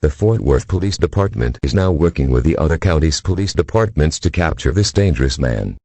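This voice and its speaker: Very deep, dark-voiced male emergency announcer. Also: expressive, brisk, breathy edge, dry recording. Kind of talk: scripted emergency broadcast announcement.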